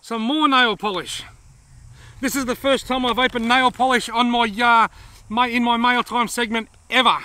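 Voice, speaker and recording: An Australian man in his forties, chatting casually to camera outdoors as a vlog host, friendly and quick to laugh. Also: extremely high pitch, fairly even intonation, pushed and bright, smooth. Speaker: fishing channel host. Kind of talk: casual vlog reading viewer mail. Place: outdoors in dry open woodland. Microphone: camera close up at arm's length.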